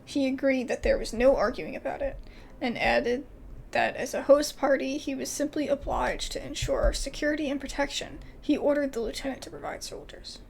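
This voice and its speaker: A woman's voice: high-pitched, fairly even intonation, fairly quick, gravelly, poor audio. A woman doing casual video game commentary and reading dialogue aloud, with informal, exclamatory asides.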